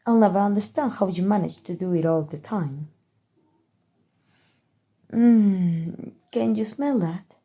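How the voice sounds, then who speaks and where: Woman doing an evil queen for asmr roleplay, mellow relaxed voice, regal and condescending, always scheming. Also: mid-range voice. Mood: condescending, candid. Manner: regal, theatrical, condescending, drawling with amused disdain. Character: haughty scheming evil queen, imperious, condescendingly fond of her helper. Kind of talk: ASMR roleplay audio drama.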